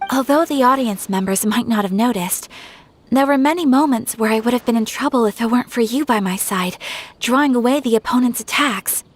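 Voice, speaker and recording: A woman playing a polite, refined young noblewoman swordfighter in a video game, formal and gentle. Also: fairly high pitch, lightly acted.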